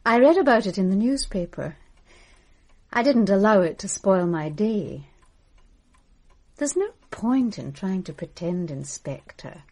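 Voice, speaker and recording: An actress in a radio drama playing a scornful, bitter estranged wife with a caustic, haughty manner. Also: female voice, medium pitch, lively intonation, unhurried, long pauses, darkish tone.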